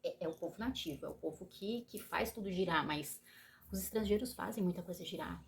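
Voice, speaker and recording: Brazilian woman vlogger, Brazilian Portuguese accent, chatty, swinging from excitable to heartfelt. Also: fairly low pitch, brisk. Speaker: YouTuber. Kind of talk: casual chat to camera.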